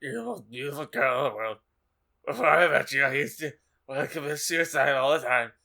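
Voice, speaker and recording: Lovesick teenage goth boy, performed by a man, very gravelly and forceful, overwrought comic audiobook lead. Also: mid-range voice, expressive, brisk, voice pushed up. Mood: lovesick, anguished. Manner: overwrought, emphatic.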